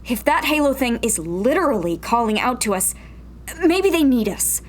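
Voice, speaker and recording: A woman's voice: a little high, big pitch swings, moderate pace. A woman acting a blunt, challenging rebel in a sci-fi radio drama, pointed and insistent. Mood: confrontational.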